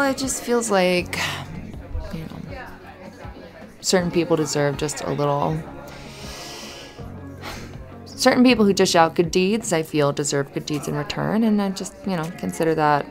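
Woman playing a flustered, flirty barista, low creaky voice. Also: expressive. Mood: tender, shy. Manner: giggly, halting, rambling with repeated restarts. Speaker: ASMR roleplay voice performer. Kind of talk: intimate ASMR roleplay, improvised-sounding chatter. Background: soft music.

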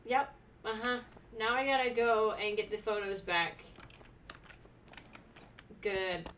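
Young woman streamer, snarky blunt commentary, bright sharp voice, mic a bit far off. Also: mid-range voice, a little hiss or hum. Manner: sarcastic, blunt, quick reactions. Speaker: gaming streamer. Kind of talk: casual let's-play commentary. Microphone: desk mic, webcam.

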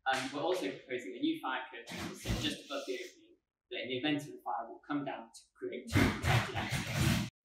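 A male architect explaining renovation works in a steady way, with frequent um fillers, filmed in a large hall under renovation. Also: medium pitch, a little fast. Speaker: architect giving a site tour. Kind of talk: scripted walkthrough presentation. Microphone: camera mic from a few metres away. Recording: roomy echo, distant mic, faint background noise.

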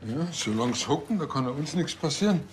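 A man's voice: fairly high pitch, lively intonation, brisk, a little mellow, slight background noise, lightly acted. An actor with a Bavarian accent playing a naive, earnest, bickering village policeman in colloquial speech. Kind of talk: acting in TV crime comedy.

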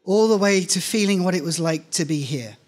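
High-pitched middle-aged man reading a story. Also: animated, a little fast. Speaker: reader of a short story at a live event. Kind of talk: scripted first-person narration, read aloud live. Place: indoor stage at a live reading event. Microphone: microphone on a stand, close in front.

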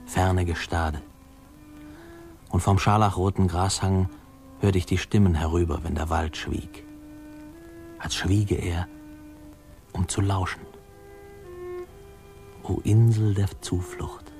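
A man playing a reflective narrator who wryly recalls his boyhood in a 1950s radio play, with musing pauses. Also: sits low, steady tone, vocal fry, darkish tone, faint music behind, heavily acted. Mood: dreamy. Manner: wry, musing, pausing.

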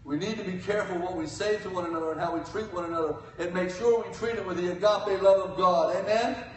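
An older man preaching a sermon from a church pulpit, swinging between loud cries and quiet asides. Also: high-pitched, fairly even intonation, fast talker. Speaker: guest preacher. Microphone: pulpit mic, filmed from the pews. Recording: echoey church from far off.

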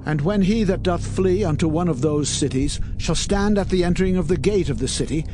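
High-voiced actor as biblical lawgiving man. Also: fairly quick, close to an everyday voice. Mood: formal instruction. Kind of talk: dramatized scripture speech. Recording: clear background ambient noise.

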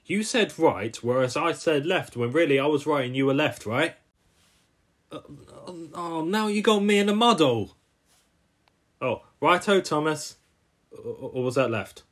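A man voicing a friendly, excitable cartoon railway character who rambles and gets flustered. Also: fairly high pitch, unhurried.

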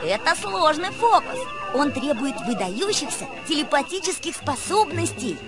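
A woman's voice: high-pitched, very animated, normal speed. A woman voicing an eager, boastful young male grasshopper doing magic tricks in a children's game. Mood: excited. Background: music.

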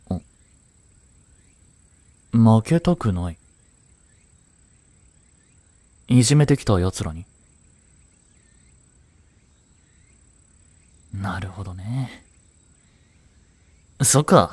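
A man playing a laid-back, cheeky, protective male high school student in a romantic audio drama, with casual, slangy, reassuring delivery. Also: darkish tone, dry recording, exaggerated voice. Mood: gentle, concerned.

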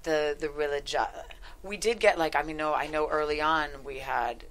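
A middle-aged woman talking casually as an interview guest, halting as she thinks aloud, on a headset mic in a studio. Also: low-pitched, fairly bright tone. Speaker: guest screenwriter. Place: small podcast studio, indoors.

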